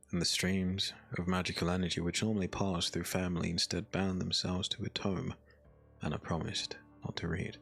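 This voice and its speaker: A man narrating a dark fantasy audiobook as a scripted story, in a solemn, measured manner. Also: low-pitched, vocal fry.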